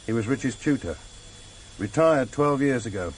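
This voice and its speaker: A man's voice: mid-range voice, expressive, normal speed, slightly rough, rough recording. A man playing an efficient, wry investigator in a radio drama, matter-of-fact and clipped. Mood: businesslike. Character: efficient, wry intelligence officer reporting from files. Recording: hissy vintage radio drama tape.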